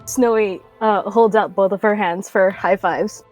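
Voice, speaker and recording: A woman's voice: medium pitch, steady tone, a little slow, a little mellow, dry recording, soft background music, rough recording. A woman casually improvising what her character does in a tabletop roleplaying game, in a giggly, informal way.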